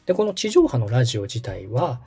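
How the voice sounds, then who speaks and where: A man's voice: mid-range voice, very animated, brisk, dark tone, slightly rough, soft background music. A man in his thirties from Osaka hosting a podcast, explaining things politely, patiently and step by step. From Osaka, Japan. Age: 30s. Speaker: podcast host, radio director.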